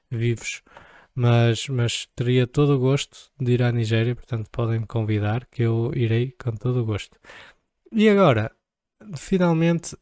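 Joking young Portuguese man, mellow voice. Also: medium pitch, no echo, clean studio sound. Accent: European Portuguese. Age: young adult. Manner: joking, rambling, playful asides. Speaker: vlogger. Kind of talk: casual chat to camera.

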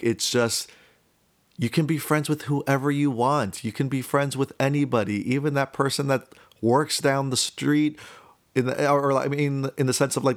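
A young adult man speaks in a mid-range voice. He talks casually and personally, rambling a little as he feels his way through each thought with hesitant restarts. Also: medium pitch. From Miami, United States. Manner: rambling, hesitant, thinking aloud. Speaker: speaker telling about own life.

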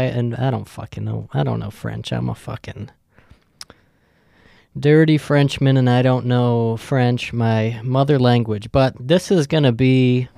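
A man's voice: mid-range voice, creaky, mellow, clear, resonant tone, dry recording, studio quality. A young man hosting his own podcast, talking in a loose, joking and rambling way, with lots of swearing. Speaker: podcast host. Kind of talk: casual rambling monologue.

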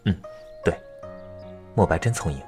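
A man playing a gentle, caring young village teacher of ancient China in a period romance audio drama, tender, patient and unhurried. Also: deep voice, expressive, soft background music.

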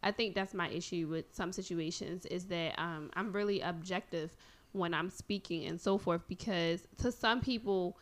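A woman in a casual conversation who talks through her views in a measured, thoughtful way. She questions the other side calmly instead of pushing back hard. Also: on the low side.

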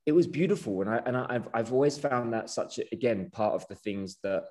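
A man's voice: mid-range voice, brisk, darkish tone. A man hosting a podcast interview, asking long, earnest, effusive questions. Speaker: podcast host.

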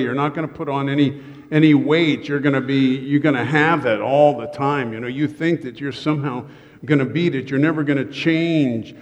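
Joking middle-aged pastor in a reverberant hall. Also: male voice, mid-range voice, lo-fi sound. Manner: joking, self-deprecating asides. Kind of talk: humorous sermon. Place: church sanctuary. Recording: long reverb of a church hall.

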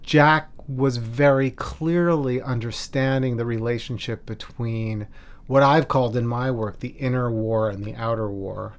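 Man, mid-pitched voice, scholarly interview commentary, measured and emphatic delivery.

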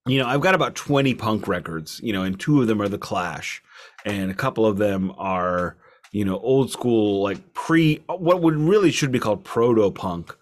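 A man's voice: fairly low pitch, animated, normal speed. A man co-hosting a casual music discussion, talking in a wry, emphatic and discursive way. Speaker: album review podcast co-host.

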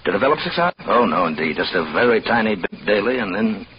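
Absent-minded scientist, low animated voice, earnest, hesitant, fussy, vintage radio drama, dull recording with faint noise. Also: male voice, fast. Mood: keen, clinical. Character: eager, absent-minded research scientist, mild and chatty. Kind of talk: old-time radio drama acting. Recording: muffled, faintly noisy old radio recording.

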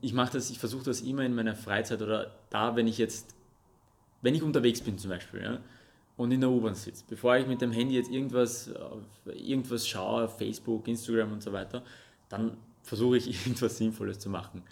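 A man's voice: mid-range voice, steady tone, fairly quick, gravelly. A young man from Russia, raised speaking Russian and Armenian, earnestly chatting as a podcast guest with frequent hesitations. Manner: earnest, talks with hesitant ums. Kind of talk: casual interview chat.

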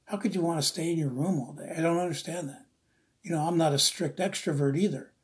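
A preacher from the East Coast of the US with a very husky, rough-edged voice and a medium pitch. The delivery is informal and devotional, earnest and rambling, circling back to repeat key phrases. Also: quick pace. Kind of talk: informal devotional Bible teaching.

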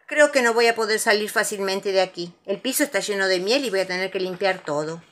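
A woman's voice: mid-range voice, lively intonation, fairly quick, fairly bright tone, natural voice. A woman voicing a grumbling, put-out male bear in a children's storybook. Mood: annoyed, musing.

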